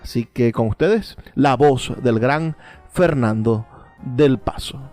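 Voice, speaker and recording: A male program host introduces material in a mellow, unhurried voice of middle pitch. Soft guitar music plays behind him.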